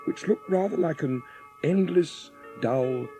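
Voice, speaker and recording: A man's voice: fairly high pitch, slow pace, warm tone. A man giving scripted storybook narration as the narrator of a dramatised audiobook. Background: music.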